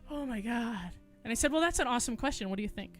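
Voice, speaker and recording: A woman's voice: on the high side, animated, fast talker, faint music behind. A middle-aged American woman with a big voice, chatting and telling personal stories in a frank, unbothered way. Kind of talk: casual conversational storytelling.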